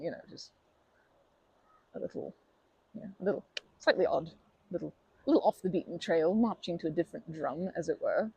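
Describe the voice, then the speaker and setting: Woman acting timid, breathy, flustered young woman. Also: on the low side, dark tone, dry recording, heavily acted, poor audio. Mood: flustered, sheepish. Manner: stammering, self-deprecating, rambling with nervous giggles. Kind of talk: ASMR comfort roleplay, conversational acting.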